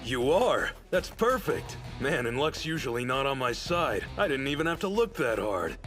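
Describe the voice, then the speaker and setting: An actor playing a blunt, cocky teenage martial artist in an anime dub, laid-back and casually condescending. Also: male voice, mid-range voice, big pitch swings, moderate pace, fairly bright tone. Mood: self-assured. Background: music.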